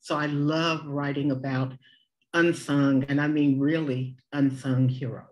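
A woman's voice: low voice, steady tone, unhurried, rough recording. A middle-aged woman from Tennessee, a children's author and former teacher, introducing herself on a video call in a heartfelt way. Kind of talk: self-introduction on a webinar. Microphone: computer mic on a video call.

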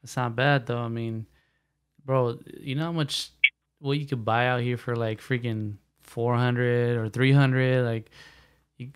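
A young man from San Jose chats easily on a podcast, sprinkling Spanish words into relaxed, slangy English. His voice is smooth and resonant and stays quite level in pitch. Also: a little slow. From raised in San Jose, California. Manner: laid-back, slangy, mixes Spanish phrases into English. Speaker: podcast guest, musician. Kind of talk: casual conversation.

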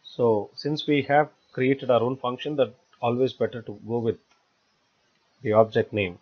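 A man narrating a step-by-step software tutorial in a halting, explanatory way. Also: mid-range voice. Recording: dull lo-fi voice-over recording.

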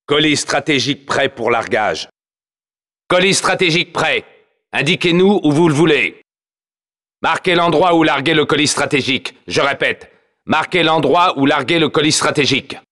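A man's voice: fairly high pitch, moderate pace, bright, forceful tone. An actor playing a commanding, battle-hardened male soldier who announces a multiplayer game in terse, clipped, authoritative callouts. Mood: commanding, focused.

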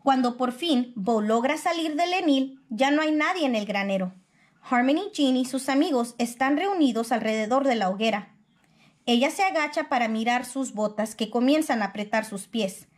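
A woman reading a children's picture book aloud in expressive storybook narration. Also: high voice, moderate pace.

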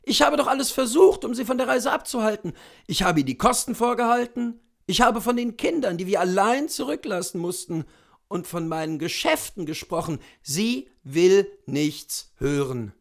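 A man voicing a distressed gentleman husband, earnest and pleading, in a dramatised classic story reading. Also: sits high, animated, moderate pace, creaky. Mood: exasperated.

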